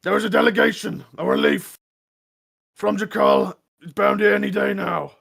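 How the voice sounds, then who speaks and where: A man voicing a stern, pompous lord in a fantasy tabletop game, declaiming commands with a gravelly, rough voice. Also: high voice, moderate pace, fairly bright tone, full character voice. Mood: grand, proclaiming.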